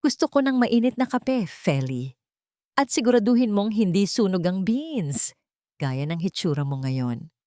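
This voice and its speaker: A woman's voice: mid-range voice, very animated, a little slow, dark tone, dry recording. A woman plays an arrogant mistress in an audio love drama. She is taunting and demanding, with a mocking manner and a dry, close sound.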